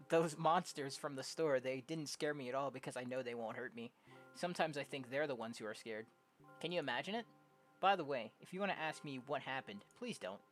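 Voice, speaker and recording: A young adult game streamer casually reading visual novel dialogue aloud, jokey and prone to loud exclamations. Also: mid-range voice, a little fast. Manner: animated, jokey, exclaims loudly. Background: game music.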